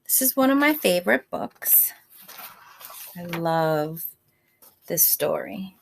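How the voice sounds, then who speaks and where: A woman reading a picture book aloud like a teacher, in simple child-directed speech, pausing to ask questions and explain words. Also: on the low side, very animated, vocal fry, slight background noise. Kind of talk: read-aloud storytime with asides and questions.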